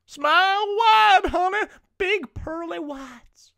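A woman's voice: very high-pitched, expressive, slow pace, gravelly, sharp tone, exaggerated voice. A woman playing a doting stage mother in a comedy sketch, effusive and full of pet names for her child. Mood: gushing.

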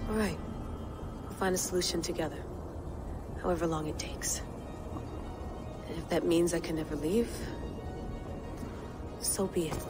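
An actress playing a blunt, determined modern heroine who swears, in a video game cutscene. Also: female voice, lowish voice, unhurried, pauses often, natural voice. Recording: game audio with music behind.

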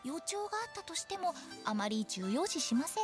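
A woman's voice: high-pitched, big pitch swings, moderate pace. A woman playing a mischievous, cheeky teenage girl funeral director in an anime game, with playful, theatrical sales patter. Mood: salesy. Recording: music behind the voice.

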